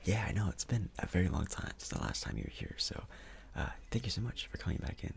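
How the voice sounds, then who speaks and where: A man speaking softly, close to a whisper, in an ASMR doctor role-play, with a casual, hesitant, reassuring manner, close to the microphone. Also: low-pitched, sing-song, fast talker, gravelly.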